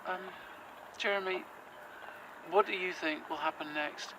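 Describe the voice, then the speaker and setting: A man's voice: high-pitched, steady tone, unhurried, noisy background. An actor playing a nervous, earnest young man who stumbles over his words. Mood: worried. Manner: nervous, earnest, hesitant with fillers. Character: anxious, hopeful young man, possessed. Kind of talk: audio drama acting.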